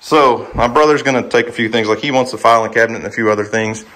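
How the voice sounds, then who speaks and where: A man's voice: mid-range voice, steady tone, quick pace, a bit bright, rough recording. Folksy man, casual vlogger. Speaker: homestead vlogger, host. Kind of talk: casual walkthrough vlog, talking to viewers. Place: outdoors in a yard, then inside a cluttered empty house. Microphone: handheld camera at arm's length.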